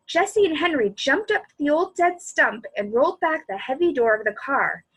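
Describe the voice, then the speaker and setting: A woman reading a storybook aloud to children in an expressive, animated way, speaking directly to her young listeners. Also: high voice, normal speed, low-quality recording.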